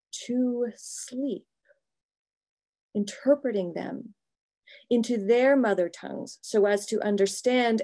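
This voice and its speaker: Middle-aged woman pastor reading her talk slow and careful, low mellow gravelly voice, webcam in a home office. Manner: measured, thoughtful, pausing phrase by phrase. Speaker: pastor giving a presentation. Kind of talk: reflective prepared talk, read slowly. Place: home office with bookshelves, indoors.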